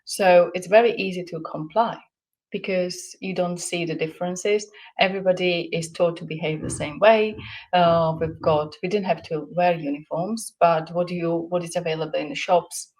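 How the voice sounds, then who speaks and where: Low-voiced Slovak woman, thoughtful. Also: fairly even intonation, normal speed, darkish tone, rough recording. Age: 40s. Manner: thoughtful, searching for words with many restarts. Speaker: podcast guest. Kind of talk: casual interview chat.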